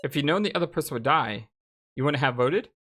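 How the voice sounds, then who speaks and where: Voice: mid-range voice, lively intonation, brisk, a bit bright. A game streamer voicing a chatty, teasing kid from a visual novel in a breezy, needling manner.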